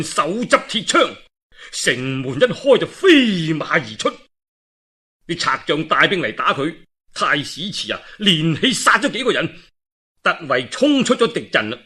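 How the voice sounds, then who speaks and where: A man with a Cantonese accent performs traditional historical storytelling. His voice sits fairly high and bright, with a husky grain. He is dramatic and expressive, dropping in colloquial asides.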